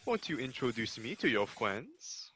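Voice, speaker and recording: A man's voice: medium pitch, sing-song, unhurried, fairly bright tone, husky, heavily acted. A man playing a suave, smug, teasing French duke in a 1940s style radio play.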